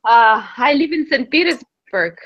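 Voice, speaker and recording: A woman's voice: high-pitched, animated, unhurried, a bit bright, no room sound. Woman hosting a club over a dull call. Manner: friendly, laughs often, fillers. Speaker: speaking club host. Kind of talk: casual chat, leading discussion. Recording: dry, dull lo-fi audio.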